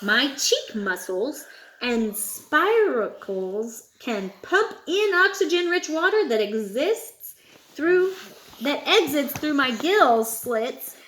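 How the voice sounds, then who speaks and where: A woman voicing proud sharks who explain themselves in a children's storybook, in a measured manner. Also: sits high, very animated, a bit bright, slight background noise, heavily acted, rough recording.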